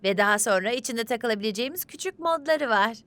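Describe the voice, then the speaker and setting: A woman's voice: on the high side, lively intonation, fast talker, a bit bright, no echo. A woman voicing a polite, enthusiastic schoolgirl in a cartoon. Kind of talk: cartoon dub acting.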